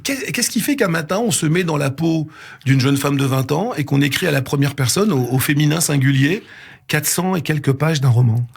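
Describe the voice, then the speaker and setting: A man's voice: on the high side, a little fast, creaky, husky. A man hosting a radio interview, chatty, prompting and finishing his guest's sentences. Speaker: radio host. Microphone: studio microphone.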